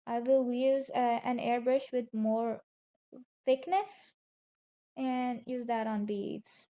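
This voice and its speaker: A young woman with a raspy voice from a nap, softly talking through her digital painting on a live stream. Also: on the high side. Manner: soft, unhurried commentary on her own drawing. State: just woke up from a nap. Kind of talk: casual narration while drawing.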